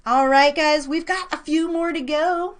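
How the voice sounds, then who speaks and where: A woman hosting a live trivia game in a casual, chatty, excitable and playful way, drawing out words for emphasis and talking to her listeners. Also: sits high, a little slow.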